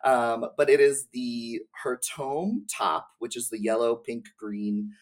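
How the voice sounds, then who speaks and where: A man's voice: mid-range voice, fairly even intonation, takes it slowly. A young man chatting casually about gaming as a podcast guest, effusive and slangy, peppering his talk with "like". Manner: effusive, slangy, uses "like" heavily. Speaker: podcast guest, game streamer.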